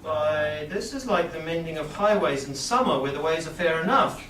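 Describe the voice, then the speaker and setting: A man's voice: on the high side, expressive, moderate pace, fairly bright tone. Man acting a cheeky bridegroom, echoey stage. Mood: wry, bawdy. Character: cheeky, bawdy young bridegroom. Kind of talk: Shakespeare staged reading. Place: small theatre stage with audience. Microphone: far camera in the audience. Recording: roomy, distant, noisy theatre recording.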